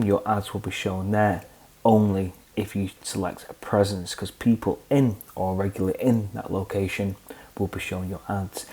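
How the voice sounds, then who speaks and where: Man explaining clicks, low voice. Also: poor audio. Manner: relaxed, explains each click step by step. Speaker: tutorial host. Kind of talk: screen-recorded software walkthrough.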